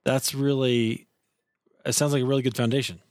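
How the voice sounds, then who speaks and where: A man's voice: mid-range voice, little pitch movement, moderate pace, darkish tone, dry recording, clean studio sound. Friendly affirming man hosting. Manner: friendly and affirming, with lots of short reactions. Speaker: podcast host. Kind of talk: casual interview.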